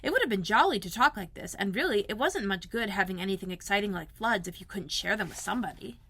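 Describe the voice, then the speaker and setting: A woman reading a children's story aloud as a librarian, expressive, with dramatic emphasis and repetition. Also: mid-range voice, fast talker, husky.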